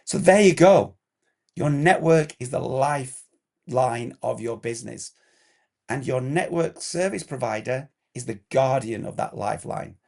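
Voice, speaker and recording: A man with a hoarse, croaky throat gives a direct instructional explainer as a compliance consultant, firing off checklist questions. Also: mid-range voice, darkish tone.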